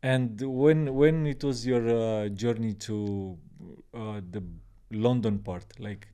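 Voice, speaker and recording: A man's voice: medium pitch, fairly even intonation, slow, dark tone, clean tone. A man hosting a podcast, asking short, friendly questions in a casual style. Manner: friendly, brief prompting questions. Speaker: podcast host. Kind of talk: casual interview.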